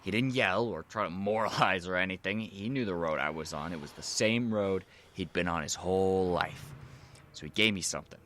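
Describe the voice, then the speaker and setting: A man's voice: sits low, normal speed, a bit bright, slight background noise. An actor playing a down-on-his-luck, menacing convict's son in an audio drama, with a streetwise, casual, insinuating manner. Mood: quietly menacing.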